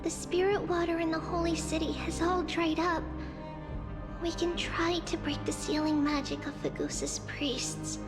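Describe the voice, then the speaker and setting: A woman voicing a gentle, grave little priestess in a fantasy video game, soft and careful. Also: extremely high pitch, lively intonation, moderate pace. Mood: solemn. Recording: long reverb over faint music.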